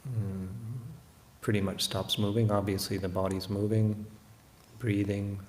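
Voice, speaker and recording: A man teaches meditation in a fairly low, very level voice. He takes his time, hesitating and pausing as he reflects. The room adds a clear echo around his words. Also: flat delivery, darkish tone. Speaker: meditation teacher, Buddhist monk. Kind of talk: guided meditation talk. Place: room in a monastery. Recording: roomy echo, faint background noise.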